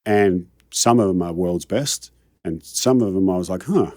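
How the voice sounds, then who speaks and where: A man's voice: sits low, moderate pace, mellow, no room sound, clean studio sound. A middle-aged Australian man, a coach telling his career story in a casual interview, rambling and self-deprecating. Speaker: high-performance coach, guest.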